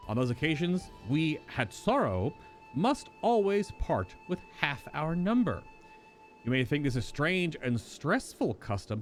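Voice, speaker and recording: A man puts on a friendly herder of a nomadic fantasy tribe. The delivery is a welcoming, storytelling game read with a lively, swinging pitch over faint music. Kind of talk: reading fantasy game dialogue aloud.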